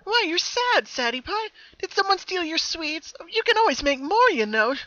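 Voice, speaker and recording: Squeaky, bubbly pink cartoon pony. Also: big pitch swings, moderate pace, bright, forceful tone, no echo, highs cut off, full character voice. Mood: chirpy, teasing. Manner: giggly, sing-song, exclamatory. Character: relentlessly cheerful, bubbly, bouncy pink female cartoon pony.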